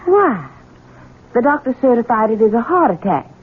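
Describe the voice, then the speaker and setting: Cool widow, muffled old radio. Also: female voice, on the high side, sing-song, normal speed. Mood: matter-of-fact. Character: cool, scheming widowed daughter-in-law. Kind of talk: 1970s radio drama acting. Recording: muffled, noisy 1970s radio recording.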